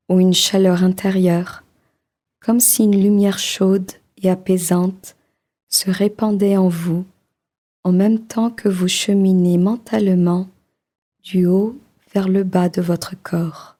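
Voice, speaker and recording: A woman leading a guided body-scan meditation in a slow, soft, soothing voice with long pauses. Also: mid-range voice.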